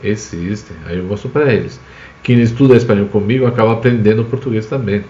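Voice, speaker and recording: A man's voice: lowish voice, fairly quick, dark tone, reverberant, lo-fi sound. A man from Spain, a native Spanish speaker with a Spanish accent, explains words with examples in an informal live language lesson as the teacher. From Spain, Basque Country.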